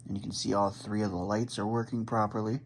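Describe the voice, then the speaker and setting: Low-voiced man chatting through an unboxing. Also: level tone, fast, slight background noise, low-quality recording. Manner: chatty, mutters while handling gear. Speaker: radio hobbyist vlogger. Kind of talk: casual unboxing commentary. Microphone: handheld phone camera.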